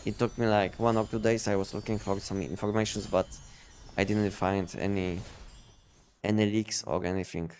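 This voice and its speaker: Male game streamer, lowish voice, casual halting delivery, faint background noise. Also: little pitch movement, darkish tone. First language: not English. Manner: halting, repetitive, filler-heavy explanations. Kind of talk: casual livestream chat.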